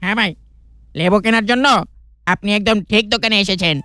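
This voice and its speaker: A man acts a smug gold-shop salesman in a comic cartoon. He delivers a businesslike sales pitch. Music plays clearly under the voice. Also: brisk, sharp tone, dry recording, clean studio sound.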